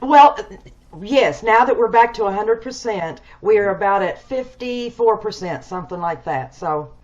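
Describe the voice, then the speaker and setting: A woman's voice: mid-range voice, animated, a little slow, crowd noise. A woman chatting casually in a group on a video call. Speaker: producer and director of a radio troupe. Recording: dull video call with others talking behind.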